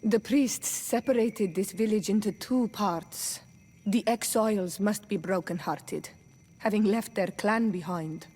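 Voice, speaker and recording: A woman's voice: mid-range voice, unhurried, a little mellow, natural voice. A woman playing a frank, principled young native companion in a video game, speaking earnestly and directly. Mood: sympathetic. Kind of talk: video game dialogue acting.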